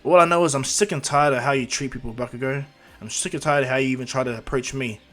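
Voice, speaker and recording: A man's voice: mid-range voice, fast, a bit bright, faint music behind, natural voice. A man voicing a brash teenage boy with superpowers, blunt and dismissive, in an anime-style story. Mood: scornful.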